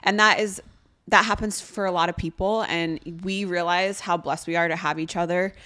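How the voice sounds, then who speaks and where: A woman co-hosting a podcast in casual chat, her voice raspy and hoarse from a lingering illness, talking loosely with lots of "like". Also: on the low side, fairly even intonation, moderate pace, sharp tone. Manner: chatty, loose, peppered with "like". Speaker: podcast co-host.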